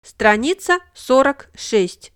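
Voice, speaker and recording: A woman narrating a scripted language lesson, speaking model phrases slowly and with clear enunciation. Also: high-pitched, lively intonation, soft background music.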